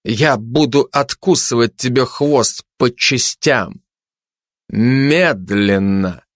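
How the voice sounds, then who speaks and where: A man's voice: mid-range voice, slow pace, no room sound, clean studio sound, lightly acted. A man playing a haughty, menacing dog in an audiobook, sneering and pompous as he threatens and declares. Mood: contemptuous threat. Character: haughty menacing fascist dog, mocking gang tough.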